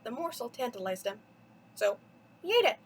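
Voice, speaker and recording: A woman's voice: a little high, sing-song, normal speed, husky. A woman reading a mythology story aloud for children as a storyteller, with dramatic, theatrical emphasis. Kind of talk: expressive bedtime story read-aloud.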